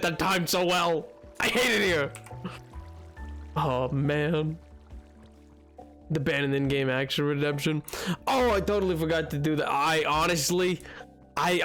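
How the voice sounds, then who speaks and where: A man streaming a game, chatting with his viewers in a rambling, excitable way, his voice hoarse from a cold. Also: on the high side, a little slow, faint music behind. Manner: rambling, excitable, jumps between topics. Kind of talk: casual chat with stream chat while gaming.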